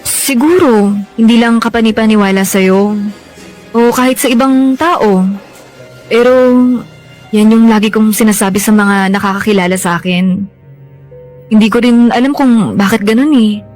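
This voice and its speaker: A young Filipino woman played by an actress with a fairly high voice, coy and frank in a gentle, reassuring way. It is radio drama acting over soft music. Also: normal speed, pauses often, natural voice. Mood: earnest, wistful. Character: frank, teasing younger woman longing for motherhood.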